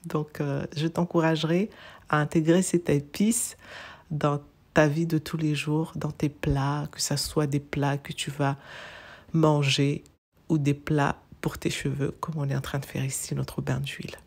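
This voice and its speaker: A woman from Mayotte gives an informative voice-over in an enthusiastic, chatty way. Her voice is very low, with a husky, breathy grain. She runs through lists of facts and drops in casual fillers. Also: flat delivery, unhurried, darkish tone. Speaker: wellness channel host.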